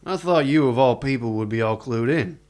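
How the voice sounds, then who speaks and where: An actor playing a sceptical, cautious bounty hunter who asks plain, wary questions in a radio drama. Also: male voice, medium pitch, brisk, darkish tone, lightly acted.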